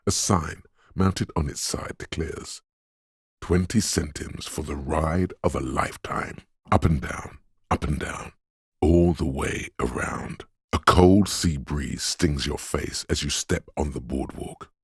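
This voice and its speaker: An actor voicing a detective's inner sense of sight in a video game, calm and observant, narrating in measured, literary lines with a dry wit. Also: male voice, dry recording, heavily acted. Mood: wistful, evocative. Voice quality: very deep, gravelly, husky. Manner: measured, deliberate, dryly wry.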